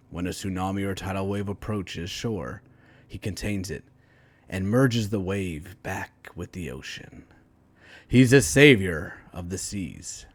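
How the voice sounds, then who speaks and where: Man, lower voice, dramatic storyteller, deliberate emphatic phrasing, dry recording. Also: no room sound. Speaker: author reading own story, writing teacher. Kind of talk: dramatic read-aloud storytelling.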